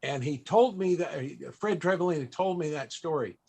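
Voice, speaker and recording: A man hosting a panel, telling a personal story in a halting, reflective and emotional way. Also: fairly high pitch, lively intonation, gravelly, darkish tone, breathy edge. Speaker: panel host and entertainer. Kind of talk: storytelling at a live interview panel.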